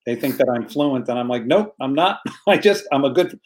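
A man's voice: medium pitch, expressive, moderate pace. An American man, a guest in a casual online chat, telling a story earnestly and repeating words as he searches for them. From United States.

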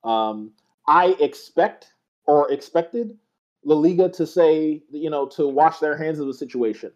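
A man's voice: a little high, a little slow, a little mellow. A man co-hosting a football podcast, talking bluntly and emphatically with swearing. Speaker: podcast co-host. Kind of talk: casual football podcast chat. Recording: slightly dull lo-fi audio.

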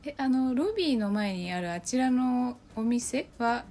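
A woman's voice: fairly high pitch, expressive, unhurried, warm tone, voice pushed up. A woman playing a polite, bewildered real estate agent in an improvised radio drama skit, hesitant and tactful. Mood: baffled.

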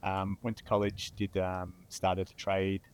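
A man's voice: low voice, monotone, a little slow, smooth. A man from Tasmania with an Australian accent, a guest speaking reflectively and modestly with many fillers. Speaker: guest, veteran. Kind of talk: interview.

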